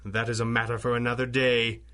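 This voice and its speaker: An actor playing a suave, commanding masked superhero in a 1930s-style radio drama, with crisp, formal, authoritative diction. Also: male voice, mid-range voice, little pitch movement, brisk, sharp tone, slight background noise, natural voice. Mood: stern, menacing.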